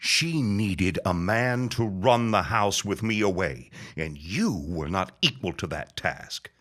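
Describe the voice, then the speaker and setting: A man's voice: low-pitched, expressive, takes it slowly, fairly bright tone, heavily acted. A man playing a bitter, vengeful old dying reverend in a period audio drama, speaking in a grandiose, scornful way. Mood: accusing, menacing. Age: older. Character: bitter, vengeful, near-death old reverend and landowner father.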